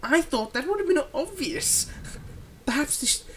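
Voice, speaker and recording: A man playing a manipulative, soothing male villain in an ASMR roleplay, speaking in a soft, coaxing and dramatic way with deliberate pauses. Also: very high-pitched, animated, heavily acted. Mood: vengeful, intense. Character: manipulative, soothing male supervillain, tenderly possessive of his captive.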